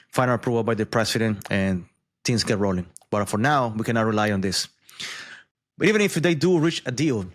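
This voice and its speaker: A man giving casual news commentary, conversational, with frequent asides and repeated words. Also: mid-range voice.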